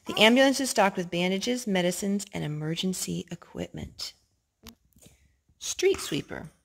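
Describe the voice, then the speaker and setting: A woman's voice: medium pitch, a little slow, creaky. Woman reading a picture book aloud. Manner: gentle, animated read-aloud voice, talking to the children between pages. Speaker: storytime reader.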